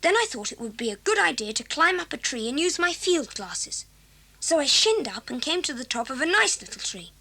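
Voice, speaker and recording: A woman's voice: sits high, big pitch swings, fairly quick, sharp tone, heavily acted. A woman playing a plucky, practical schoolboy with an eager, earnest way of talking, in a 1980s children's audio drama.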